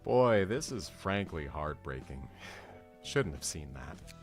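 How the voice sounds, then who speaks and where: A man's voice: low-pitched, sing-song, takes it slowly, faint music behind, heavily acted. A man voicing a polite, stoic servant-librarian in a game script read-along, formal and given to lecturing. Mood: sombre.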